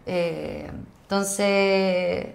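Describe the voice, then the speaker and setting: A woman's voice: lowish voice, fairly even intonation, drawn-out words, gravelly, slight background noise. A woman with a Chilean accent, an actress talking casually as a podcast guest.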